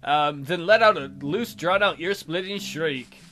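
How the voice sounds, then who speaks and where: A man's voice: fairly high pitch, a little slow, pushed and bright. A man hosting a let's play, reading game text aloud expressively and adding casual jokey commentary.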